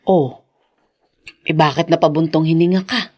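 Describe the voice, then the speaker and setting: A woman's voice: low-pitched, fairly even intonation, normal speed, darkish tone. A woman playing a kindly, motherly older adviser in a Filipino radio drama, with a Filipino accent. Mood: gentle, advising.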